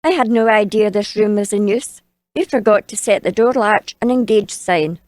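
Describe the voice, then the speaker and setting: A woman's voice: mid-range voice, normal speed, darkish tone, dry recording, close to an everyday voice. A woman playing a simple, innocent eighteen-year-old cleaning girl in an audio drama, polite and naively curious. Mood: apologetic surprise.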